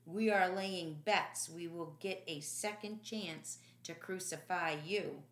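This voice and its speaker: Woman voicing a loud jeering Roman soldier. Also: on the low side, takes it slowly, echoey room. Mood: gloating.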